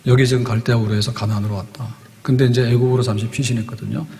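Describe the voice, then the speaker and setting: An older man preaches from a church pulpit into a podium microphone, his voice trailing long hall reverb. He explains step by step like a storyteller, often asking rhetorical questions. His pitch sits in an ordinary middle male range. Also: fairly even intonation, rapid-fire, mellow. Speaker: pastor. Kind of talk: sermon.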